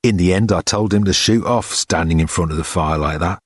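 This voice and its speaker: Man acting a chatty wiry porter, slightly husky. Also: low voice, fairly quick, no echo, studio quality. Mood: chatty, matter-of-fact. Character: short, wiry, chatty male college porter. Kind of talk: audiobook character dialogue.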